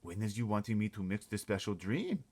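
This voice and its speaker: A man's voice: lowish voice, very animated, a little fast, darkish tone, breathy edge, pitched above the performer's own voice. A man voicing a big friendly giant, simple and timid, with quaint ungrammatical speech in a storybook read-aloud. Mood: curious.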